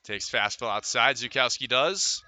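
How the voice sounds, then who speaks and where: A man does live radio baseball play-by-play in a very bright, forceful voice. His pitch sits in the middle, and he delivers each detail as sports commentary, clicking along from stats to action. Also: expressive, measured pace.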